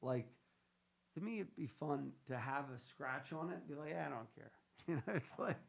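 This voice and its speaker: A man's voice: on the high side, normal speed, vocal fry. A man hosting a financial radio show, chatting loosely and joking with his co-hosts between promos and calls. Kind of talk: casual on-air banter and show promos.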